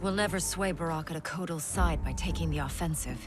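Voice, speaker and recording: A woman's voice: low voice, a little fast, music in the background, close to an everyday voice. A woman playing a determined, persuasive warrior princess in a video game, poised and formal. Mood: resolute.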